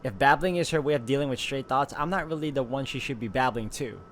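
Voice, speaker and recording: A man's voice: medium pitch, fast, fairly bright tone. Man narrating a game over faint noise. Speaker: let's play host reading a visual novel aloud. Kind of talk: narration read aloud with casual asides.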